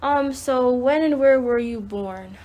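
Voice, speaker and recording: Young woman asking interview questions, higher voice. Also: slow pace, darkish tone. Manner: careful, reads prepared questions with hesitant "um"s. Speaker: interviewer, granddaughter. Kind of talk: oral history interview questions. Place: home in Chicago.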